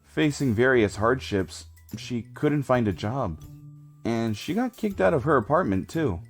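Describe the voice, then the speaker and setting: A man's voice: mid-range voice, expressive, unhurried, soft background music. A man reading scripted first-person story narration for a comic dub.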